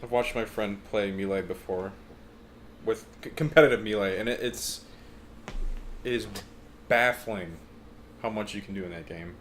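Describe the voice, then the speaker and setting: A man's voice: fairly low pitch, normal speed, pauses often, fairly bright tone. A man co-hosting a podcast in casual, bantering conversation. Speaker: podcast co-host. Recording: noticeable background noise.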